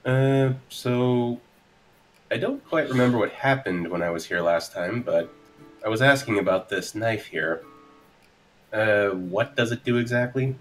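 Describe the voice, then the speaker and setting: A man's voice: medium pitch, unhurried. A man taking part as a player in a tabletop roleplay game, chatting casually and describing his character's moves. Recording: faint music behind. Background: faint music.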